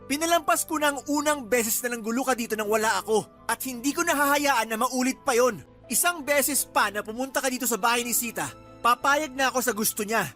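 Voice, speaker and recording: An actor playing a stern, fed-up parent, forceful and scolding, in radio drama style. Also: mid-range voice, brisk, faint music behind, natural voice. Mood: angry, threatening. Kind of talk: Tagalog radio drama acting.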